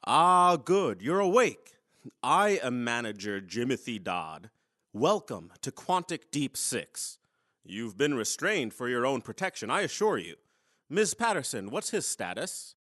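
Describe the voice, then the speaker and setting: A man's voice: mid-range voice, very animated, a little slow, fairly bright tone, dry recording, studio quality. A man playing an officious, self-assured manager in a sci-fi audio drama, speaking with formal, businesslike courtesy. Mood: formal welcome.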